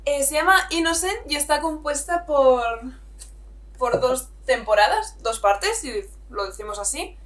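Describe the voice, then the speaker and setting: Young woman, highish voice, chatty review, keeps correcting herself. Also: lively intonation, a little slow, a bit bright. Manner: chatty, self-correcting, apologetic. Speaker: manga reviewer, channel host. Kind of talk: casual video review.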